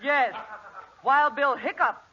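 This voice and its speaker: A woman's voice: sits high, slow pace. A woman playing a curt young maiden in a 1940s radio comedy, speaking in terse pidgin. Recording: dull, faintly noisy 1940s radio recording.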